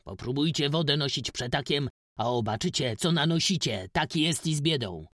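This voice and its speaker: A man's voice: medium pitch, moderate pace, no echo, natural voice. Male reader as old peasant farmer, stubborn, self-righteous, blunt rustic speech, emphatic, storybook character, dry sound. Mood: stubborn, forceful.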